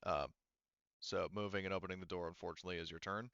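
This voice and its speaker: A man's voice: low voice, steady tone, fast talker, fairly bright tone, clean tone, no echo, muffled recording. A man acting as game master, narrating a tabletop game off the cuff in a casual way with some swearing. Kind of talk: improvised tabletop game narration over voice chat.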